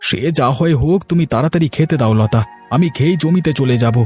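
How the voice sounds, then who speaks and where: A man voicing a hardworking, hurried village farmer in a cartoon, urgent and insistent. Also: on the high side, lively intonation, darkish tone, faint music behind, natural voice. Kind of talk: Bengali cartoon moral story acting.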